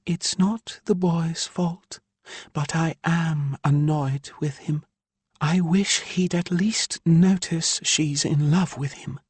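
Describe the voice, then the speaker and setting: A man's voice: a little high, steady tone, darkish tone, breathy edge, dry recording, pitched above the performer's own voice. A man voicing an irritated, exasperated mother in an audiobook, with an edgy, emphatic delivery. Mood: annoyed. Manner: edgy, drawn-out emphatic speech. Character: irritated, exasperated wife and mother, female healer.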